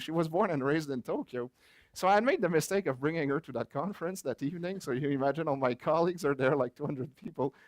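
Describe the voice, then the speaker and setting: A man's voice: medium pitch, a little mellow, dry recording. Chatty French Canadian man, dry sound. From Quebec, Canada. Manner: chatty, anecdotal, with light chuckles and frequent fillers. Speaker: author and guest speaker. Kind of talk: public book-launch talk.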